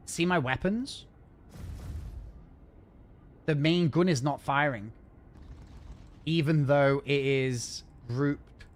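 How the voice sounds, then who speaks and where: A man chatting casually and rambling while live-streaming a game from home. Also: fairly high pitch. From north of England. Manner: chatty, rambling, fast-talking. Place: home gaming setup.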